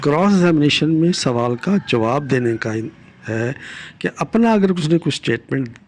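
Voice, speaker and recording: An older man with a Pakistani accent gives a deliberate, didactic law lecture, repeating key phrases and switching into English legal terms. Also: fairly high pitch, animated. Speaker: retired judge giving legal lessons.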